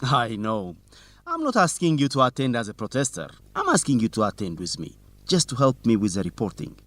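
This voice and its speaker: A man's voice: mid-range voice, huge pitch swings, a little fast, fairly bright tone, dry recording. A man playing an earnest, confident young student reporter in an educational radio drama. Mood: earnest, reassuring.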